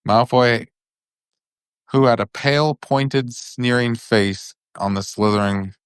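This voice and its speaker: A man's voice: medium pitch, slow pace, clean tone, no echo, studio quality. A man narrating a storybook for bedtime in an informal, occasionally stumbling way.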